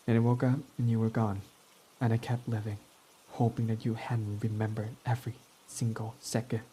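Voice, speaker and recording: A man's voice: on the low side, level tone, moderate pace, dark tone, slight background noise, close to an everyday voice, rough recording. A man voicing a proud, defensive young male villain in an ASMR roleplay who swears when flustered, over faint rain. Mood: quiet, confessing. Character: proud tsundere young male villain, gruff but smitten.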